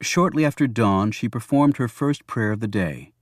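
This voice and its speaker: A man's voice: mid-range voice, expressive, moderate pace, no room sound, studio quality. A man narrating a thriller novel as a scripted audiobook.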